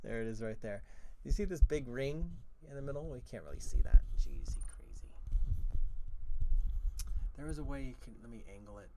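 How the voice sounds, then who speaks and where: A man with a baritone voice hosting a casual livestream lesson, chatting while he demonstrates microphones and moves closer to and away from them. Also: lively intonation, normal speed, pauses often, warm tone, a little hiss or hum, poor audio. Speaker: cello teacher hosting a live stream. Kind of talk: casual livestream demo talk. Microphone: switches between several desk microphones.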